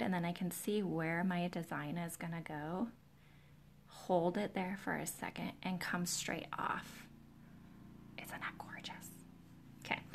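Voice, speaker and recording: Soft breathy lady doing a live nail demo, lowish crackly voice, nearly whispery, super chill, right up on the mic. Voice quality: low, soft, breathy voice, often near whisper. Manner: relaxed, soothing, quiet, close to the mic. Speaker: nail technician host of a live tutorial. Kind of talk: chatty live-stream demonstration, greeting viewers. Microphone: close to the camera's microphone.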